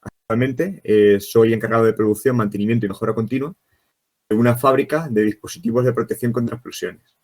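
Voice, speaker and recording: A man's voice: medium pitch, steady tone, fairly quick, a little mellow. A man with a Castilian accent from central Spain, speaking as a podcast guest in a semi-formal, explanatory way. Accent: Castilian Spanish. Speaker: podcast guest, production manager. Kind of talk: semi-formal self-introduction.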